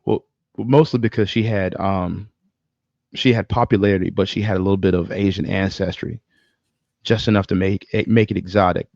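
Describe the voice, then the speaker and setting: Male co-host, creaky lowish voice, informal opinionated banter with casual swearing, dry and slightly lo-fi. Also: steady tone, moderate pace, a little mellow, no room sound. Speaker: podcast co-host. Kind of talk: casual chat about movies and games.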